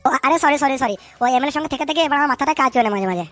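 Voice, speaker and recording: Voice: high voice, animated, rapid-fire, a bit bright, soft background music. An actor voicing a crude, lovestruck cartoon sidekick, defensive and shifty, in speech, blustering and stammering when flustered. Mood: excitable, flustered. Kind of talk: comic cartoon dub with street slang.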